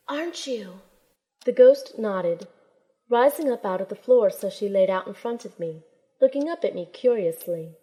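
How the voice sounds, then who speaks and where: A woman's voice: mid-range voice, dark tone, natural voice. A woman playing a plucky, kind young shaman heroine who narrates her own story in an audio drama. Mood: gentle, playful. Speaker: narrator and protagonist. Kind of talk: first-person audio drama narration and acting.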